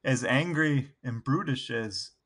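A man's voice: mid-range voice, slow, a bit bright. A man co-hosting a podcast in a casual, conversational style. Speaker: podcast co-host.

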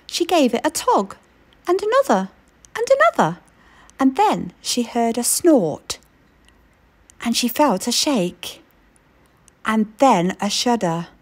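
A woman reading a children's picture book aloud in an expressive storytelling way. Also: on the high side. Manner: expressive storytelling, slow and lilting.

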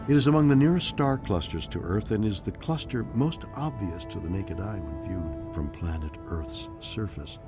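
Male ship's computer, lowish register, learned and helpful, measured formal delivery, music underneath. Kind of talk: scripted game voice pack explanations. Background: music behind the voice.

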